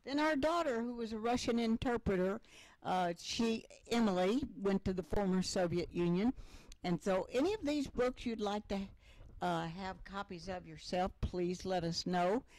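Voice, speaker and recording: A woman's voice: medium pitch, normal speed, a little mellow, no room sound, poor audio. Older female talk show host, rambling and chatty, dry close sound. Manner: rambling, chatty, many fillers.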